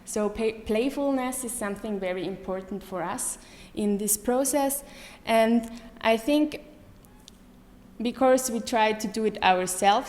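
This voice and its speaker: A woman in her thirties with a German accent, giving a live lecture to an audience, pausing often with many ums and restarts. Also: medium pitch, a little mellow. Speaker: artist giving a talk. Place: lecture hall. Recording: echoey hall with faint room noise.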